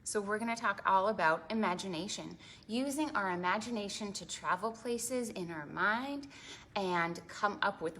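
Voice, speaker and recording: A woman hosting an interactive storytime for preschoolers, talking in an animated, exaggerated way to small children and asking them lots of questions. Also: medium pitch. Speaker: children's librarian and storytime host.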